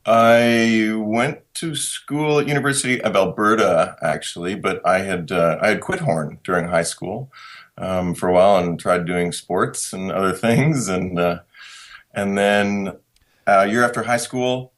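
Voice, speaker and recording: Low-voiced guy from canada, dry jokes, easygoing storyteller. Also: measured pace, fairly bright tone. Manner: wry, self-deprecating storyteller. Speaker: guest musician. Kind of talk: casual interview chat.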